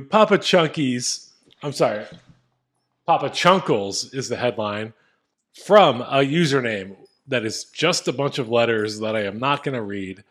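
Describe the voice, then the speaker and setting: A man's voice: mid-range voice, a bit bright. A man co-hosting a podcast in casual, joking banter that can turn profane. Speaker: podcast co-host.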